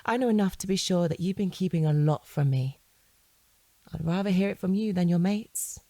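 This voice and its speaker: An actress playing a composed, probing woman in a naturalistic audio drama, measured, pointed and persistent. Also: lowish voice, mellow, no echo, close to an everyday voice. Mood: probing, firm. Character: composed, probing woman questioning an evasive man.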